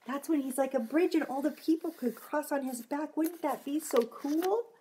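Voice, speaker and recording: A woman's voice: high voice, moderate pace, mellow, slight background noise. A middle-aged woman reading a storybook expressively to children, with simple chatty asides and questions. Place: living room at home.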